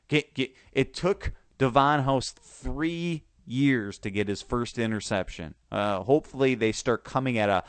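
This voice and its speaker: A man with a low, smooth voice that is sore and a little hoarse, talking football on a show he hosts. He talks in a halting way, full of restarts and fillers. The sound is dry and close. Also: no room sound. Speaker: sports podcast host. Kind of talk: casual football talk.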